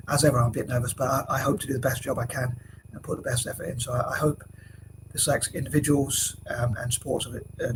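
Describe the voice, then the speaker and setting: Man with a stuffy cold, monotone delivery, hesitant fillers, noisy recording. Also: medium pitch. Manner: rambling with many fillers and restarts. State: has a cold. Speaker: vlogger. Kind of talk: casual talk to camera. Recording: noisy background hiss.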